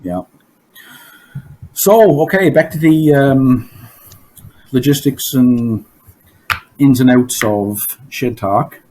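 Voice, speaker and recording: A hoarse man hosting a casual podcast from his basement, rambling through stories and laughing at his own jokes. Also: mid-range voice, slow pace, long pauses, warm tone, a little hiss or hum, rough recording. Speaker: podcast host. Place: home basement.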